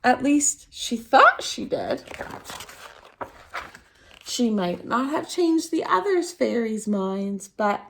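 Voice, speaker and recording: A woman with a high-pitched voice reads a picture book aloud for children. Her pitch swings widely and she takes her time, stretching key words for theatrical emphasis. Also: big pitch swings, slow, vocal fry, long pauses, noisy background. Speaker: storytime reader at a dance centre.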